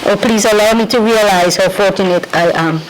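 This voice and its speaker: A woman's voice: medium pitch, slight background noise, low-quality recording. Earnest Trinidadian woman reading a tribute. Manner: earnest, prayerful, with small halting restarts. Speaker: disciple reading a homage. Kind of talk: reading a devotional tribute letter aloud.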